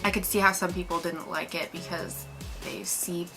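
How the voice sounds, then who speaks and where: A woman's voice: lowish voice, fairly even intonation, moderate pace, gravelly, over music. A woman co-hosting a movie review in a casual, chatty, informal way. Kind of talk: casual film discussion.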